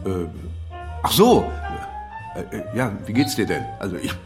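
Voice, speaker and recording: An actor in a German radio play, playing an anxious, jealous man of 59 who speaks haltingly and with wry self-irony. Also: lowish voice, very animated, over music. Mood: hesitant, confiding. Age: 50s. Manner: hesitant, stammering, self-ironic.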